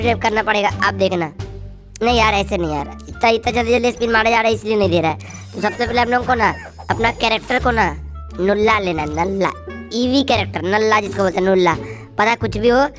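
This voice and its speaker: A woman's voice run through a voice changer, hosting casual gameplay commentary, chatty and repeating phrases. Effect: voice changer raising the pitch. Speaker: gaming video host. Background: background music.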